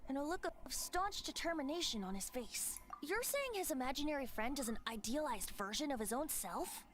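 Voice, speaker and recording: A woman's voice: high-pitched, sing-song, fairly quick, soft background music. An actress playing a polite, composed young woman in a video game, articulate and dryly teasing. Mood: curious.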